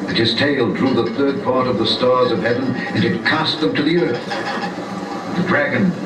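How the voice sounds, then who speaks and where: A man narrating a puppet show as a dramatic, solemn scripture reading, with theatrical, drawn-out emphasis, recorded live in a theater. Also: mid-range voice. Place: small indoor theater, live performance. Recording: distant echoey live theater recording, loud music. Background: loud guitar and instrumental music.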